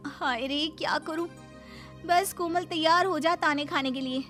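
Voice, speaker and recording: A young, put-upon daughter-in-law in a family cartoon. Her voice is high and plaintive, pleading with wry exasperation. Background music runs under the lines. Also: unhurried, a bit bright. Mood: exasperated. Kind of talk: Hindi family cartoon voice acting.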